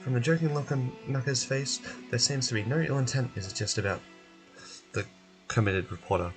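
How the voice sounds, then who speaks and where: A man's voice: mid-range voice, brisk, over music. A man reading video game narration aloud as a streamer voicing over a quest.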